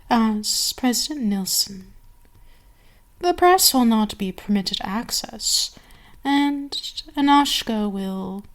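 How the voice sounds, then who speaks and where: A woman voicing a seductive, domineering vampire queen in an ASMR roleplay, speaking deliberately with savoring pauses to an enslaved servant. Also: fairly high pitch. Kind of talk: ASMR roleplay, scripted monologue.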